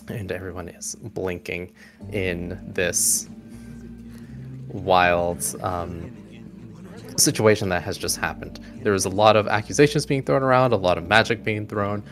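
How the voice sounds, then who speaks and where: A man with a low voice narrates a tabletop game as its game master. He feels his way through the scene with amusement, over clearly audible music.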